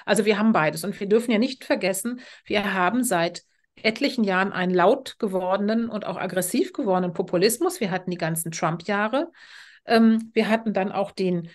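A woman's voice: medium pitch, brisk. A middle-aged woman giving an explanatory expert talk on an online panel, often correcting herself and adding asides, speaking over a video call from a room.

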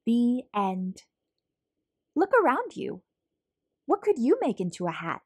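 Lady reading a kids picture book, very up and down expressive, slightly husky breathy voice. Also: on the high side, takes it slowly. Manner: animated, clearly paced storytelling for young children. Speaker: children's read-aloud host.

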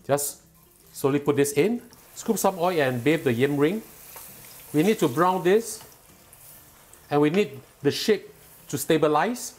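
A middle-aged man hosting a cooking show, explaining each step calmly and patiently in a home kitchen. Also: fairly high pitch, measured pace, long pauses. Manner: calm, patient and instructive, enthusiastic when tasting.

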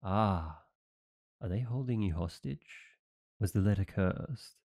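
A man voicing a loyal, dim-witted young knight in an audio roleplay, earnest and naive, over soft music. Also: lowish voice, lively intonation, slow pace, full character voice. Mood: outraged. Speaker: voice actor.

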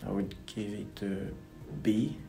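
A young man with a slightly low voice reflects on his year in a relaxed, thoughtful way. He sits in a university lobby facing the camera. Quiet music runs softly behind him. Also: slow, creaky. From Gabon. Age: young adult. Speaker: university student. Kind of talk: interview to camera. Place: indoor university building lobby. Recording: faint music under the voice.

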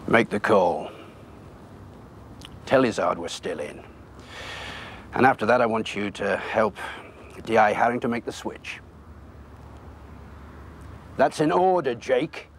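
Commanding detective boss, very lively intonation. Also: male voice, lowish voice, takes it slowly, pauses often, slight background noise. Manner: terse, authoritative. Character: commanding male senior police detective, decisive, terse. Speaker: senior police officer. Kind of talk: naturalistic TV crime drama acting.

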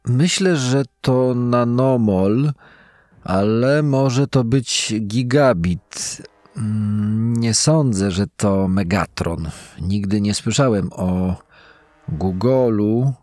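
A man's voice: fairly low pitch, extremely slow, a little mellow, no room sound. A man playing a hesitant, muddled male quiz contestant thinking aloud in a dramatized reenactment. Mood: puzzled, wavering.

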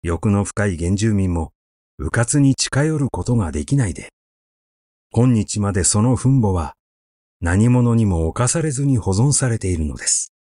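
A man narrating a literary short story in a measured, expressive storytelling manner. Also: fairly low pitch, no echo, studio quality. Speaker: audiobook narrator.

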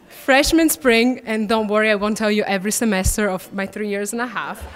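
A young woman in her twenties with an Italian accent, a student athlete giving a prepared speech at a podium, warm and gently self-deprecating. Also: mid-range voice. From Italy. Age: 20s. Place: large banquet hall, at a podium. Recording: reverberant banquet hall.

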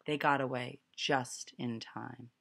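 A woman's voice: very deep voice, fairly even intonation, slow pace, gravelly, fairly bright tone, slight background noise. A middle-aged woman reading a picture book aloud to children at home, recorded on a webcam. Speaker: storyteller. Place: small furnished room at home. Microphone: webcam at arm's length.

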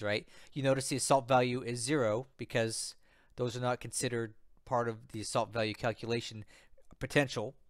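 A man's voice: mid-range voice, dry recording. Stuffy-nosed man talking through a tutorial. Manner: conversational, repeats "right?" and "okay?", thinks aloud. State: stuffy from a cold. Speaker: strategy game tutorial host. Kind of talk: casual screen-share tutorial.

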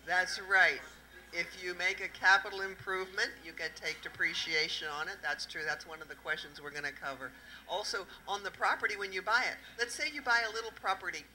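A middle-aged woman with a Southern US accent, a tax accountant giving a lively talk at an indoor event and quizzing the audience through a handheld microphone. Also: lowish voice, fairly quick, low-quality recording. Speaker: tax accountant presenting at a panel. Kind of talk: interactive talk to a live audience.

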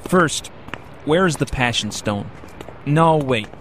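A man's voice: mid-range voice, animated, slow pace, fairly bright tone, slight background noise. A man playing a curious, skeptical everyman companion in an audio drama, with a casual questioning manner. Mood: puzzled, skeptical.

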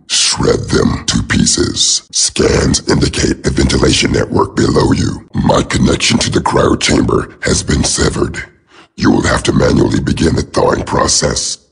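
A cold, obedient machine villain from a video game. The voice is extremely deep, gritty and robot-filtered, delivering clipped, clinical reports with no emotion. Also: male voice, expressive, unhurried, vocal fry, breathy edge, exaggerated voice. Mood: cold, detached.

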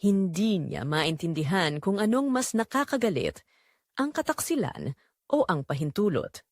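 A woman's voice: lowish voice, sing-song, measured pace, no echo, studio quality. A woman narrator reading a scripted dramatic story.

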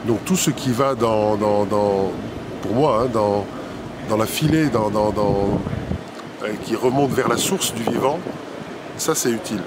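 A man's voice: lowish voice, unhurried. Hesitant man in his fifties outdoors. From Italy and Belgium. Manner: thoughtful, many hesitations and repeated words. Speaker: poet and publisher. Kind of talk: street interview answers. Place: city square outdoors, traffic nearby. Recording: outdoor street noise.

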